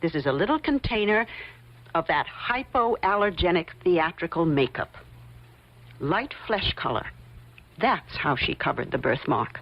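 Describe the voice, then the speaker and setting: A woman's voice: lowish voice, very animated, unhurried, exaggerated voice. An actress playing a shrewd, coolly confident woman investigator in an old-time radio mystery, insistent and pointed. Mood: coolly explaining. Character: shrewd, probing woman investigator laying out her theory. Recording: muffled old radio drama recording.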